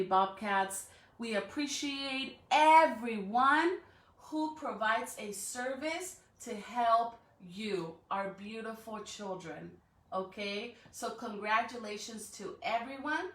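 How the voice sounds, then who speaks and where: A woman's voice: on the high side, a bit bright, distant mic. A woman hosting a school read-aloud, talking to young children in an encouraging way with frequent check-in questions. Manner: encouraging, checks in often with rhetorical questions and "right?". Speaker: elementary school staff member hosting a read-aloud for students. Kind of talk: addressing children on a live stream, then reading a storybook. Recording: roomy echo, away from the mic.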